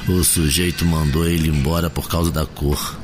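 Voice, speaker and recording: A man's voice: rumbling low, steady tone, normal speed, background noise. A man playing a nostalgic veteran circus clown with a Brazilian accent in an audio drama, chatting with an old friend. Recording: music behind the voice.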